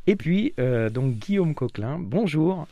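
Lively-voiced man hosting a radio show. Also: a little high, measured pace, creaky, no room sound. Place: radio studio.

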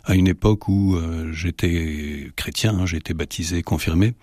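A man who is a guest in a radio interview, speaking reflectively and haltingly with fillers. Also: low voice, a little mellow, dry recording, studio quality.

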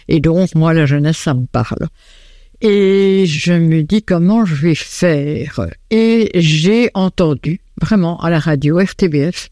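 An older woman speaks slowly and with a mellow, dark tone, her words dry and close. She answers interview questions with many hesitant pauses and fillers. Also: lowish voice, no echo. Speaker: host of student lodgers.

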